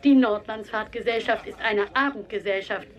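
A woman's voice: medium pitch, moderate pace. A woman reading scripted documentary narration in a formal manner.

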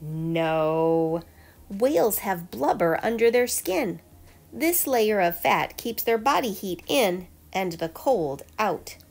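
Lady reading a kids book out loud, slow n very expressive pitch, faint music behind. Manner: clear storytelling delivery with emphatic questions and answers. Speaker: teacher reading a children's book aloud. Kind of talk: children's picture book read-aloud.

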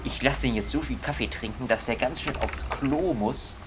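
A man's voice: medium pitch, normal speed, noisy background. A young man giving casual gaming commentary in a grumbling, comically exasperated manner. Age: young adult. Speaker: let's player.

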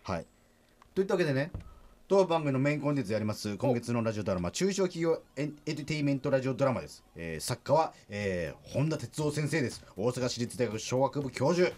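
A man's voice: mid-range voice, big pitch swings, quick pace, darkish tone. A man hosting a radio show, chatting and announcing segments. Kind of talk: lively chat and announcing.

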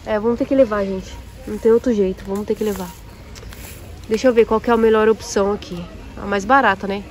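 A woman's voice: medium pitch, fairly even intonation, fast, a little mellow. A young woman vlogging while shopping in a large supermarket, chatting to viewers and comparing prices aloud, filmed on a phone at arm's length. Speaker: vlogger, café owner. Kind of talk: casual shopping vlog. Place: large warehouse supermarket, indoors. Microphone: phone held at arm's length. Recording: noisy store ambience.

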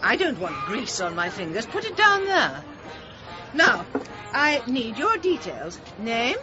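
An actress with an English accent playing a bossy, snobbish village wife in a radio play, officious and disdainful. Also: female voice, on the high side, wildly swooping pitch, slow pace, heavily acted. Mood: haughty. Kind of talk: radio drama acting. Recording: dull lo-fi radio drama with music.

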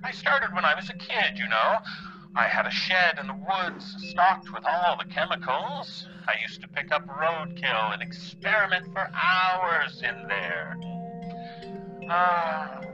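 A man's voice: fairly high pitch, very animated, measured pace, lo-fi sound. A middle-aged man playing a sinister, murderous father in a horror audio drama, theatrical and mock-folksy, speaking through a radio-like filter. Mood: gloating. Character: gloating, murderous dead father on a tape recording. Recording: band-limited tape-voice sound over music.

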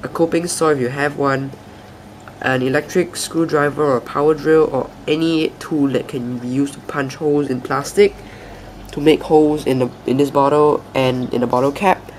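A young man with a croaky, soft voice after a flu, hosting a casual hobby tutorial and explaining each step with small hesitations and restarts. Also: a little high, fairly even intonation, moderate pace, a little hiss or hum. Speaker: aquarium hobby channel host. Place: indoors at a table.

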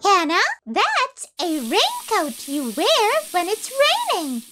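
Squeaky show-off chick for a kids' puppet show, sing-song and bouncy, drawn-out words, kids' music underneath. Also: female voice, huge pitch swings, exaggerated voice. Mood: bubbly. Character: cheerful bossy little chick fashion helper. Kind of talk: children's puppet show voice acting. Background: children's music.

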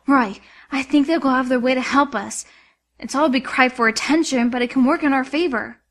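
A persuasive young rogue from a fantasy cartoon, acted by a woman. She argues shrewdly in a high voice. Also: a little fast, a little hiss or hum. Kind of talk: cartoon fan dub acting.

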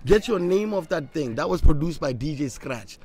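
A young man, a podcast guest and former radio presenter, talking bluntly and heatedly, slipping into Setswana, recorded outdoors on a boom mic. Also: animated, moderate pace, mellow. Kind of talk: casual interview talk. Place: outdoor table on a campus courtyard. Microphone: studio mic on boom arm.

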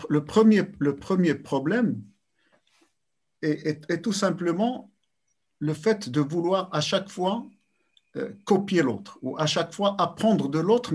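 An older man giving a lecture over a video call, measured and repeating words as he searches for phrasing. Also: a little high. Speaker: sports science speaker. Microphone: webcam on video call.

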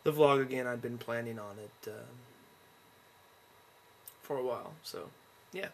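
A tired young man rambling in a low-key way to a webcam from his bedroom, recording a casual video diary. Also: unhurried, vocal fry, a little hiss or hum. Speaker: vlogger. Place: bedroom at home.

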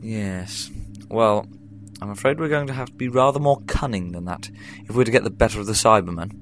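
A man playing an eccentric, brisk time traveller. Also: lowish voice, slight background noise, close to an everyday voice.

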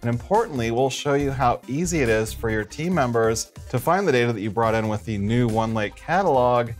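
A man's voice: medium pitch, expressive, a little fast. Male tech show host with music behind. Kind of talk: scripted tech show presentation. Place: studio set at a desk.

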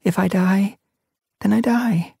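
A man's voice: high voice, takes it slowly, creaky, darkish tone, husky, no room sound, clean studio sound, voice pushed up, heavily acted. A man voicing a timid, hesitant young female apprentice cat. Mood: fearful. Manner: hesitant, fearful.

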